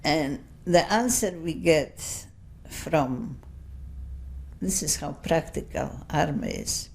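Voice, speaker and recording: Older woman, seventies, second-language English, rambling anecdotes, gravelly voice, unhurried. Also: low voice, expressive. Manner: slow, rambling, anecdotal with many asides. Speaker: interviewee giving oral history testimony. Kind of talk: unscripted recollection.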